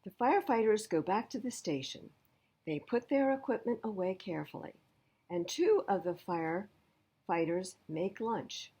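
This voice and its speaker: A woman reading a picture book aloud to young children as a library storyteller, pausing to explain things simply. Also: mid-range voice, expressive, dark tone, slightly rough.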